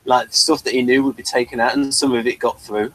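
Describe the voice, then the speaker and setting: A man's voice: medium pitch, little pitch movement, brisk, fairly bright tone, low-quality recording. A man hosting a casual review chat, explaining things in a rambling way.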